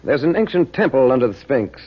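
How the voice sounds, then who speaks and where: A composed, commanding magician in an old radio serial. His mid-pitched voice moves widely up and down while staying measured and authoritative. The recording is dull and aged. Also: very animated, breathy edge. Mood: firm, controlled.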